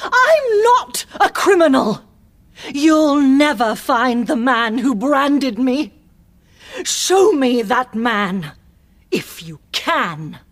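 Lady putting on a desperate villain noblewoman, way up high and swooping all over, slow and shrill. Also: sing-song, full character voice. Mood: defiant. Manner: high, shrill crying outbursts. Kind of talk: audiobook character voice.

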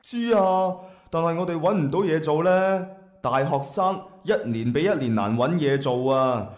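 An actor playing a brash, clueless young jobless graduate in a comic radio drama, with a Guangzhou Cantonese accent and a cheeky, rambling, hesitant manner. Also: male voice, a little high, normal speed, close to an everyday voice. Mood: aggrieved. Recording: echoey room.

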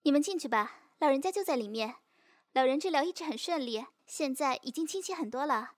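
A woman's voice: sits high, expressive, brisk. A woman playing a kindly, businesslike nurse in an audio drama, polite and chatty. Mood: polite, instructive. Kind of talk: Chinese audio drama acting.

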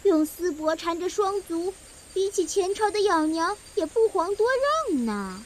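Woman playing a high, slow-talking young maidservant. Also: lively intonation, a little hiss or hum. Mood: catty, teasing. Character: young gossiping maidservant in old China, teasing, petty. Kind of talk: Chinese audio drama acting.

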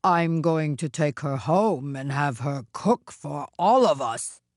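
Woman acting a wicked big male ogre, storybook villain, low, slow, gruffly polite, emphatic. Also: animated, a little mellow, no room sound, clean studio sound, exaggerated voice. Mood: bossy, instructing.

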